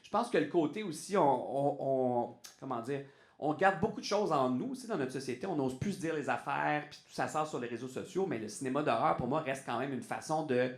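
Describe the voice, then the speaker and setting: A man with a Québécois French accent, a guest on a podcast, talking in an animated way and restarting his sentences often. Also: mid-range voice, brisk, reverberant. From Quebec. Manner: animated, restarts often, says "tu sais" a lot. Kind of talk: casual podcast interview.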